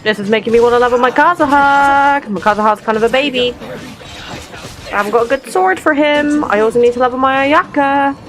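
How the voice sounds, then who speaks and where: A woman's voice: sits high, moderate pace, a bit bright, soft background music, poor audio. Chatty woman doing casual gameplay commentary. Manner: informal, chatty. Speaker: gaming channel host. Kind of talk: casual let's play commentary.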